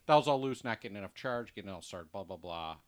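A man's voice: on the low side, lively intonation, quick pace. A man co-hosting a casual chat, rambling along with lots of fillers and restarts.

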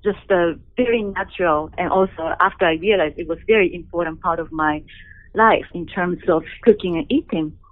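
A woman's voice: on the low side, moderate pace, no echo. A middle-aged woman from Japan with a Japanese accent, a cookbook author explaining things earnestly as a guest in a phone interview. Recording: tinny phone line.

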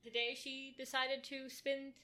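A soft-spoken woman co-hosting a casual podcast from a kitchen table at home. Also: sits high, level tone, a little slow. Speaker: podcast co-host. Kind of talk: casual chat.

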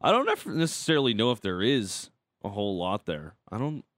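A man's voice: lowish voice, sing-song, brisk, fairly bright tone, no echo, clean studio sound. A man from Alberta co-hosting a sports radio show, with wry, laid-back remarks. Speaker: radio co-host. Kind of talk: casual radio banter. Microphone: studio mic.

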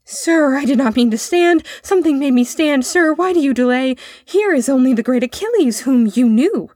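A woman's voice: high voice, animated, normal speed, a little mellow, no echo, clean studio sound, pitched above the performer's own voice. A woman voicing an eager, earnest young boy in a storybook, exclaiming politely and full of wonder. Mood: earnest pleading. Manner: breathless exclaiming, earnest and deferential. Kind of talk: storybook character reading.

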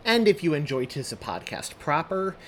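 A man's voice: fairly high pitch, sing-song, moderate pace, a bit bright, a little hiss or hum. Effusive man hosting a podcast. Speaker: podcast host and story author. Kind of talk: scripted podcast outro.

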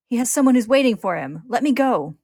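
A woman plays a defiant young hero, a teenage boy in a dramatic tale. His voice is pushed up and loud, urgent with desperation. The sound is dry and close. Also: a little high, animated, a little fast, dry recording, pitched above the performer's own voice. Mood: desperate, furious.

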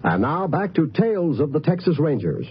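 A man's voice: fairly high pitch, big pitch swings, normal speed, lo-fi sound, a little hiss or hum. A man announcing upcoming programs on old-time radio in a polished, emphatic, scripted delivery. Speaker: network announcer.

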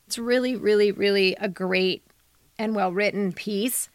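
A woman's voice: medium pitch, fairly even intonation, measured pace. A woman co-hosting with conversational, emphatic commentary as an editor. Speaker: podcast co-host and writing editor.

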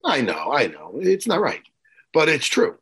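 A man in his forties with a bright, gravelly, raspy voice that swings widely in pitch as he teases his friends. He talks in loose, ribbing radio banter into a studio mic. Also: unhurried. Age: 40s. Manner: teasing, animated ribbing. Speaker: radio co-host.

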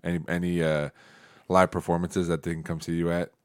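A middle-aged man hosting a podcast, chatting casually with his young daughter and gently teasing her with coaxing questions. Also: low-pitched, little pitch movement, fairly quick, clear, resonant tone, no room sound, studio quality. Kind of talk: casual family chat.

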